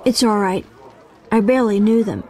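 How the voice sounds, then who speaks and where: A woman's voice: medium pitch, normal speed, dark tone, natural voice. A woman voicing a subdued orphaned child in a fantasy video game, with a simple, plaintive way of talking. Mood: forlorn, uncertain. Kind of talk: video game dialogue acting.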